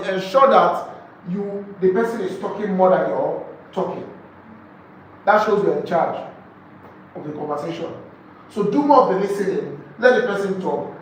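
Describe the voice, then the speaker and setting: A man with a Nigerian accent teaching a training session, emphatic, repeating key phrases and putting rhetorical questions to his listeners. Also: sits high. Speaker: real estate sales trainer. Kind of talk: live training lecture to a group. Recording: echoey room, distant mic, faint noise.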